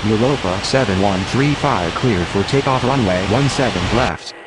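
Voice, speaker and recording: A man's voice: fairly low pitch, normal speed. A man giving clipped, scripted aviation radio instructions in an air traffic control game.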